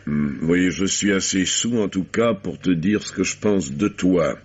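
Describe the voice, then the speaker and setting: A drunk, slurring stage star. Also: male voice, low voice, slightly rough. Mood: drunk, insulting. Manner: slow, slurred, menacing. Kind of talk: 1950s French radio drama acting. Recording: dull old radio recording, faint noise.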